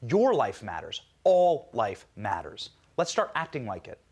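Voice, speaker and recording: A man's voice: medium pitch, huge pitch swings, a little slow, breathy edge. An American man delivering a scripted political monologue as a commentator, in an emphatic, rhetorical way.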